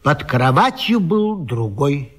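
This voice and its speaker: A man's voice: on the high side, very animated, slow, fairly bright tone, exaggerated voice. An actor playing a fussy, agitated respectable married man in a theatrical radio comedy. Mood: anxious storytelling. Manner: pompous, agitated, indignant. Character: fussy, flustered respectable married man caught under a bed. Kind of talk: theatrical radio vaudeville acting.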